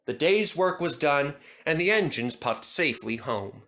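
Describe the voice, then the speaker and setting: A man's voice: a little high, animated, unhurried, a bit bright. A man narrating a scripted children's story as a storybook reader.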